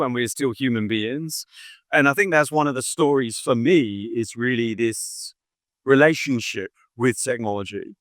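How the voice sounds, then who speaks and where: A man from England, speaking as a corporate marketing director in a relaxed trade show interview, explaining fluently with plenty of "you know.". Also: medium pitch, no room sound, clean studio sound.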